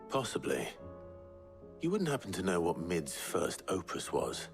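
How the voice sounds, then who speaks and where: A low-voiced man as a stoic hero in a fantasy video game. He speaks in short, measured, earnest phrases. Music is clearly audible behind him. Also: huge pitch swings, exaggerated voice. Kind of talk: cinematic video game acting.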